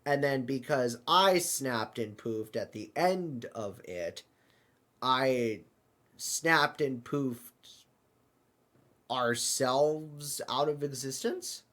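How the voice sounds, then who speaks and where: A man hosting an improvised comedy skit, talking in a halting, bemused manner. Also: medium pitch, a bit bright. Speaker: host of a comedy web series.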